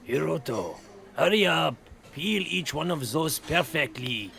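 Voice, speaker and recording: A man's voice: on the high side, animated, measured pace, gravelly, a bit bright, full character voice. A man playing a bossy, impatient head chef who barks curt orders, in a children's audio drama.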